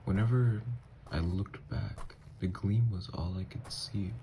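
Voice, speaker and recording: A man playing a gentle, haunted, newly-turned vampire lover in an ASMR audio drama, speaking in hushed, halting, hesitant phrases. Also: fairly low pitch, steady tone, a little hiss or hum. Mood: earnest, haunted.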